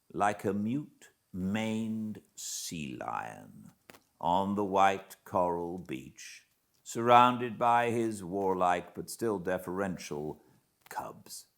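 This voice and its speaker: British male audiobook reader, low and unhurried, theatrical literary delivery with weighty pauses. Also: a bit bright. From Britain. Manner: theatrical, deliberate phrasing with dramatic pauses. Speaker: audiobook narrator. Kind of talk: expressive literary audiobook narration.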